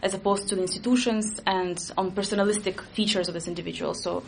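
A woman's voice: mid-range voice, steady tone, gravelly, a little hiss or hum. A young woman, a native Russian speaker who grew up in Latvia, answering interview questions as an expert, thinking aloud with fillers and restarts. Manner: quick, analytical, with frequent fillers and restarts.

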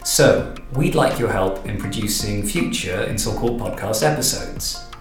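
A man's voice: lowish voice, measured pace. A man hosting a podcast, chatting and interviewing in a conversational style. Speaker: podcast host and director. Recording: roomy echo, faint music.

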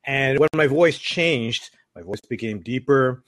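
A middle-aged man from Canada, an author on public speaking, answering interview questions in a measured, explanatory way. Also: expressive, fairly bright tone, no echo. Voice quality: deep voice. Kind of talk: interview guest.

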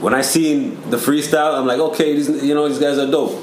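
A man's voice: a little high, steady tone, brisk. A man from Toronto, a guest rapper, telling his story in a laid-back, slangy way with lots of fillers. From Toronto, Canada. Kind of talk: casual interview chat. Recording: roomy with faint background noise.

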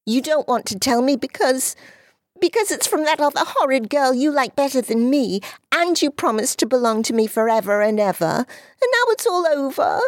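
A woman voicing an earnest, jealous little girl in an audiobook, high and eager. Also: big pitch swings, a little slow, no echo, studio quality, full character voice. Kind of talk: audiobook character voice.

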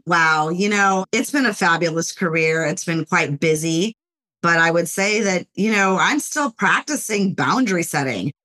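A middle-aged woman from Los Angeles, a corporate lawyer and author, speaking as a podcast guest in a measured, reflective way with frequent "you know"s. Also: fairly low pitch, expressive, bright, forceful tone. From Los Angeles, United States. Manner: measured, thoughtful, often says "you know". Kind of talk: reflective interview talk.

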